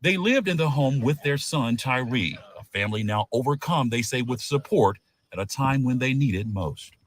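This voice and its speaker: Male TV reporter, mid-pitched narration. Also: animated, moderate pace. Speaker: television news reporter. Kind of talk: scripted news package narration.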